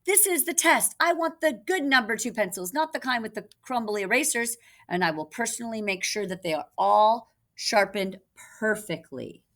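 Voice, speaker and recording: A woman's voice: on the high side, expressive, normal speed, fairly bright tone, voice pushed up. A woman voicing a fussy, bossy school principal from a storybook, emphatic and insistent.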